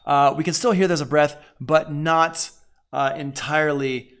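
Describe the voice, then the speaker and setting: A man giving a casual software tutorial as a music production teacher, informal and conversational, thinking aloud. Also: on the high side, steady tone, a little slow.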